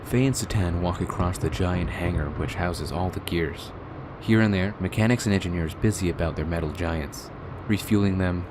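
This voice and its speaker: A man's voice: deep voice, noisy background. A man reading scripted, descriptive narration for an audio drama.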